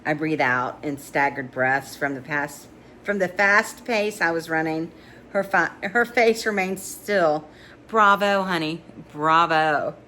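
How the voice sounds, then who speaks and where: Female narrator, low register, informal and animated romance read-along with small stumbles, some noise behind her. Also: measured pace. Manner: stumbles over words and giggles while reading. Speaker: narrator reading a novel aloud. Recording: clear background noise.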